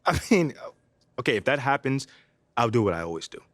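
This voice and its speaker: A man playing a confident young pro basketball player in a video game, relaxed and slangy. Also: medium pitch, fairly quick, no echo. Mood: casual, self-assured. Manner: relaxed, slangy, self-assured. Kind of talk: casual game cutscene and press interview dialogue.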